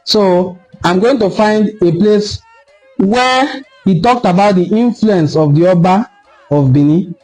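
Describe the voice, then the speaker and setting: A Nigerian man giving an impassioned solo commentary, lecturing forcefully and repeating points for emphasis. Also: high-pitched, unhurried, soft background music, rough recording. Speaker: online cultural commentator.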